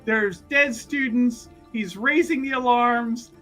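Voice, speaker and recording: A man's voice: very high voice, slow, bright, forceful tone, soft background music. A man playing a tabletop roleplaying game, casually describing what his character does.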